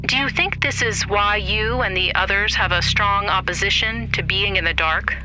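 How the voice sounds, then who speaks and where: A woman acts out a calm doctor in an audio horror drama. She is reassuring and measured, speaking soothingly and probing gently. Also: medium pitch, soft background music, low-quality recording. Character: calm, reassuring female doctor interviewing a traumatized patient.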